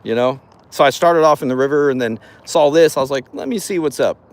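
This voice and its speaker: A man's voice: medium pitch, brisk. Street vlogger, easygoing guy on a handheld phone outside, calls everyone bro. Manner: laid-back, reassuring, calls people bro and man. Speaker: vlogger streaming live. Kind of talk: casual street chat. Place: outdoors by a river walk path.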